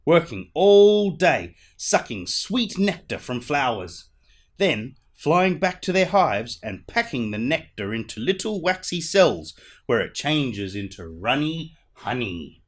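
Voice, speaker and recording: A man's voice: mid-range voice, big pitch swings, slow. A man voicing a patient, instructive grandmother in a storybook, explaining things with emphasis.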